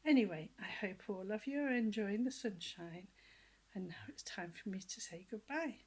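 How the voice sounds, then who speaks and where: Breathy older woman reading a story. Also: mid-range voice, moderate pace. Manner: gentle, animated storytelling, drops to soft whispers; talks directly to young listeners with questions. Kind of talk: children's storybook reading with personal asides.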